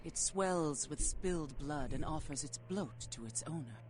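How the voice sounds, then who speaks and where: Solemn vampire scholar lady, low mellow voice, lilting, cryptic game character. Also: animated, measured pace, slight background noise, rough recording. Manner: formal, cryptic. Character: eerie female vampire scholar trading occult relics, solemn. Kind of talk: video game voice acting.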